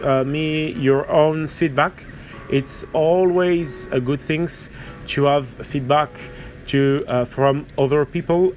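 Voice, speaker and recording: French-accented man, slow halting speech. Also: a little high. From France or French-speaking region. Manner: hesitant, halting, searching for words. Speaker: miniature painting tutorial host. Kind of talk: informal hands-on tutorial commentary.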